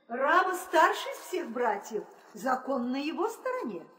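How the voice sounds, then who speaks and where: A woman's voice: high voice, very animated, unhurried, fairly bright tone, full character voice. An actress playing a deferential, composed younger queen with a formal, restrained manner in a theatrical audio play. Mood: guarded. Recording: reverberant 1960 audio play record.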